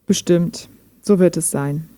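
Low, close female chatbot, acted by a woman. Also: takes it slowly, dark tone, no room sound. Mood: pensive. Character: gentle, guarded female chatbot talking like a human. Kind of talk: intimate German radio play dialogue.

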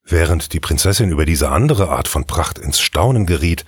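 Deep, husky male storyteller. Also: expressive, moderate pace, dry recording. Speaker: storyteller, narrator. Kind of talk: scripted fairy tale narration.

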